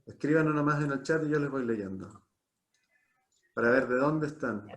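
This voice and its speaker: A man's voice: medium pitch, moderate pace, fairly bright tone. A Chilean man casually hosting a live online talk, chatty and given to repeating short phrases. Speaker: host of an online talk series.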